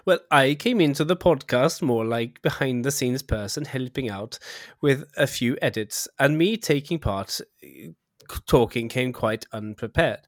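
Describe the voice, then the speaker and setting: A man voicing a slow-talking Swedish man with a put-on Swedish accent. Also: mid-range voice, animated, no room sound, studio quality, natural voice. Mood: deadpan. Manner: slow, careful, deliberate delivery. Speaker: podcast co-host in a skit.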